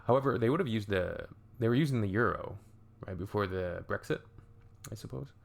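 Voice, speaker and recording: A man's voice: lowish voice, animated, a little fast, creaky. A young adult man from Canada hosting a reaction video, chatting in a wry, think-aloud way. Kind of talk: casual reaction commentary.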